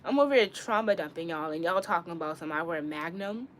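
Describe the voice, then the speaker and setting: A woman's voice: fairly low pitch, fast talker. Casting: woman, early twenties, candid and slangy streamer chatting off the cuff, noisy room sound. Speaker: live streamer. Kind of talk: casual live-stream chat with viewers. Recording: clearly noisy room ambience.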